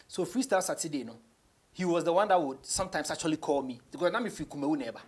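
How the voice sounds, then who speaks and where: Male, young adult, Ghanaian accent, raspy voice, casual interview guest, emphatic repetitive storyteller. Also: fairly high pitch, very fast. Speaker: guest, rapper. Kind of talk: casual interview chat, mixing English and Twi. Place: small indoor radio studio.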